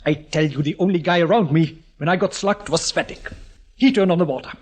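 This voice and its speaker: A man's voice: on the high side, brisk, background noise, close to an everyday voice. An actor playing an angry party henchman who insistently accuses another man, in a 1950s radio drama. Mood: accusing.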